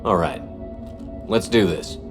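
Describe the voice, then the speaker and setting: An actor playing a stoic, gruff military commander in video game dialogue, terse and businesslike. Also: male voice, low voice, takes it slowly, over music. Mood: terse, steady.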